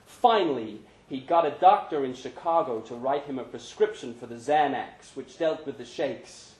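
A man's voice: mid-range voice, normal speed, a little mellow. A man narrating a short story dramatically at a live reading. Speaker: story reader. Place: indoor pub event. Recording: roomy live pub reading.

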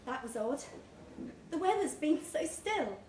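A woman's voice: sits high, big pitch swings, measured pace, darkish tone. A woman reader voicing a restless, guarded woman traveller with a hesitant manner in a story. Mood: awkward, tentative. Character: restless, guarded woman drifter from England, awkwardly chatty. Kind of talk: character dialogue in a live story reading. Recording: roomy live recording with background noise.

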